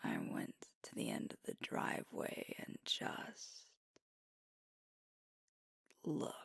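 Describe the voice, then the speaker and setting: A woman voicing a sweet, tender werewolf girlfriend in an ASMR roleplay, speaking in a soft, breathy whisper with gentle, intimate storytelling. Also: slow pace, dry recording, studio quality, lightly acted. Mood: gentle nostalgic.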